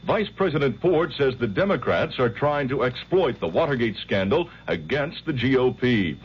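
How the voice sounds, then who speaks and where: A man anchoring an hourly radio newscast, reading in a crisp, measured news delivery. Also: medium pitch, sing-song. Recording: dull old radio broadcast with faint hiss.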